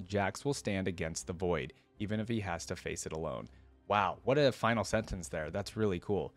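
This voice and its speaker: Low-voiced man, casual reaction host. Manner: casual, thinks aloud, informal asides. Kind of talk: reading lore aloud with casual commentary.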